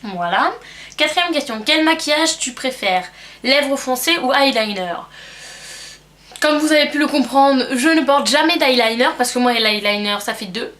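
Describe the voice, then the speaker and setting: Bright-voiced young woman with a cold. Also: high-pitched, moderate pace. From France. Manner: rambling, hesitant with many fillers. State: has a cold. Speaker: beauty vlogger. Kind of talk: casual chat answering a tag.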